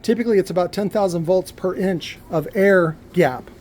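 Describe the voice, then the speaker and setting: A man giving an informal technical explanation as an electronics builder, halting and often repeating words as he works through his point. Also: high-pitched, darkish tone.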